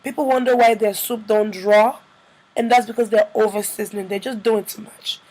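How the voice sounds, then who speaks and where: A woman with a slightly hoarse, congested-by-a-cold voice, narrating a casual cooking tutorial as a vlogger. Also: mid-range voice, steady tone, normal speed, darkish tone, rough recording.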